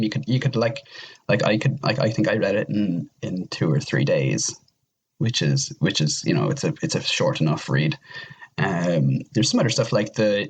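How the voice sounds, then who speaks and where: Low-voiced man with a husky edge. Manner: rambling, enthusiastic, repeats intensifiers. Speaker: podcast guest. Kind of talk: casual chat, recommending games.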